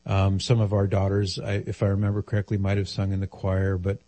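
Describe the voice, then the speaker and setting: Older male radio host, low and husky, close dry studio mic, calm fatherly advice to callers. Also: brisk, no room sound.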